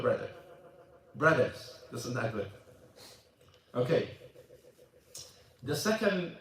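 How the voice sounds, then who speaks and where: A man's voice: a little high, a little hiss or hum. A man whose first language is Arabic, teaching a mosque class in English with a loose, repetitive manner and questions to the audience. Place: mosque hall. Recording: echoey hall, voice from far off.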